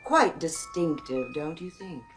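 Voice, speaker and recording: An actress playing a courteous, eerily knowing saleswoman in a radio drama, measured and insinuating. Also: sits low, expressive, soft background music. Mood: smooth, coaxing.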